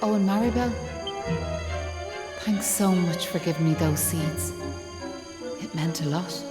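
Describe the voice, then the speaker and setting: Woman acting a grave young woman, Irish accent, self-sacrificing, low register, music underneath, video game drama. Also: measured pace, lightly acted. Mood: gentle, grateful. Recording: echoey hall reverb, music behind.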